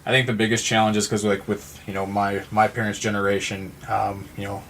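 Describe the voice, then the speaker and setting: A man's voice: lowish voice, level tone, a little fast, a bit bright, a little hiss or hum, rough recording. A man in his thirties speaking earnestly and conversationally as an interview guest, in a wordy way that circles back on phrases. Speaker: podcast guest from a support non-profit.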